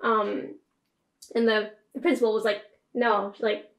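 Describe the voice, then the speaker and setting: A young woman from Quebec telling animated stories on a casual podcast, acting out what others said. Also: medium pitch, unhurried, creaky, echoey room. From Quebec, Canada. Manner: animated, acts out quotes of others. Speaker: podcast co-host. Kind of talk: casual chat, storytelling. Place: small room at home, indoors.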